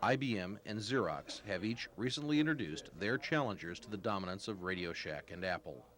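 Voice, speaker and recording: A man's voice: on the low side, expressive, fairly quick, fairly bright tone. A man TV news reporter giving a scripted report on an archival 1980s broadcast. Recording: busy crowd noise behind, 1980s TV.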